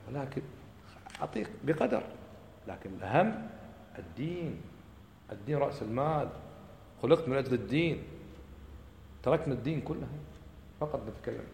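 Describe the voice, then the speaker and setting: A man giving an impassioned religious lecture, firing off rhetorical questions and dropping to a low voice for emphasis. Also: vocal fry, a little mellow, a little hiss or hum. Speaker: religious teacher. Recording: echoey hall recording.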